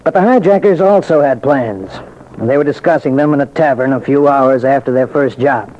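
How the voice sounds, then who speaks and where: A man delivering formal, measured scripted narration as a police commissioner on an old-time radio show. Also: mid-range voice. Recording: dull, hissy vintage radio recording.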